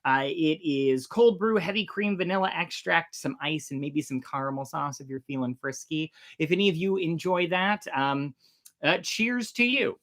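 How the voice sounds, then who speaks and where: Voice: medium pitch, normal speed, a bit bright. Enthusiastic, informal storytelling host. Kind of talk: chatty intro and dramatic reading of an old sea tale.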